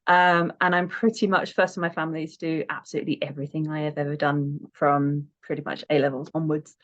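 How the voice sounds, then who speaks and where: A woman's voice: sits low, little pitch movement, fairly quick. A woman in her thirties from the south coast of England with a southern English accent, chatting as a podcast guest in a self-deprecating way, full of "um"s. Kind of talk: casual interview chat. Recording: dull, lo-fi remote audio.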